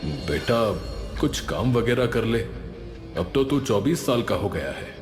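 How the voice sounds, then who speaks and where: A man voicing an earnest middle-aged village father who gently reproves, in a moral story. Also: on the low side, sing-song, measured pace, roomy sound, over music. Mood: concerned. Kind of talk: animated moral story dialogue.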